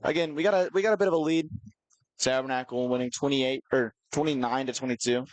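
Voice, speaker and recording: A man gives easygoing commentary on a school basketball game. He rambles and pauses on fillers. His voice sits mid-pitch and sounds dry and close. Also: dry recording, poor audio. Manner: relaxed, rambling, hesitant fillers. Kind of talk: casual sports commentary.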